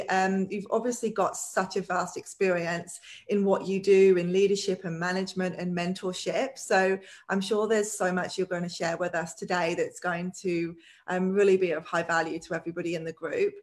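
Upbeat woman hosting a video call. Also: mid-range voice. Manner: upbeat, many fillers. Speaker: host. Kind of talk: live video-call interview. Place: home room.

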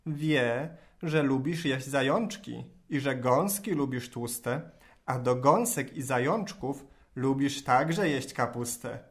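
An actor voicing a clever, bold talking cat with a courtly, smooth-tongued way in a children's rhymed audio tale. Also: mid-range voice, takes it slowly. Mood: courtly, flattering. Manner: smooth-tongued rhyming oration.

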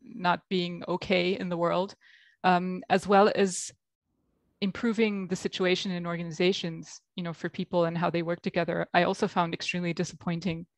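A woman's voice: fairly low pitch, little pitch movement, normal speed, no echo. A woman from Belgium with an American accent, a guest coach on a video call, talking reflectively with many ums. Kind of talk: interview over video call. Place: home room.

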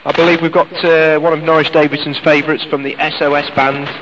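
A man's voice: fairly high pitch, flat delivery, quick pace, dull audio, noisy background. A British man presenting live radio in a chatty, informal, ad-libbed way, broadcast outdoors over shortwave. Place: outdoors in the countryside. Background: loud music.

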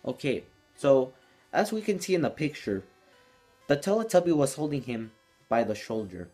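A man's voice: medium pitch, big pitch swings, moderate pace, dark tone, breathy edge, soft background music. Teenage guy telling a casual story. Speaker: vlogger telling stories. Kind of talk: casual storytime told to camera. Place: small room indoors.

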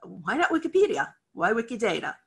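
A woman's voice: medium pitch, big pitch swings, brisk, vocal fry, husky. A middle-aged American woman, head of a library cataloguing department, giving a chatty, candid conference talk over a video call from her office. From United States. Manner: chatty, candid, acts out quoted voices. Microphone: video call webcam.

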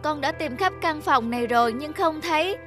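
A woman voicing an earnest, sensitive young boy in an animated story, polite and pleading in manner. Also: high-pitched, normal speed. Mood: anxious. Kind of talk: Vietnamese animated moral story dubbing. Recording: music behind the voice.

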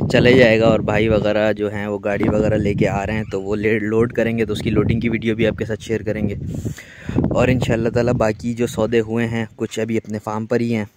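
A man's voice: fairly low pitch, background noise. A man with a Pakistani Urdu accent, chatting casually to his viewers while walking around a cattle farm outdoors, filmed on a phone. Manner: chatty, addresses viewers directly, many fillers. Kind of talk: casual vlog narration.

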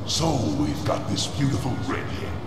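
A man's voice: medium pitch, sing-song, roomy sound, over loud music, lightly acted. A man voicing a gloating, manic alien supervillain monster in a video game, snarling and taunting theatrically. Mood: gloating, menacing. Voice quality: gravelly, snarling. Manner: taunting, drawn-out theatrical delivery with cackles. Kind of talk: video game villain acting.

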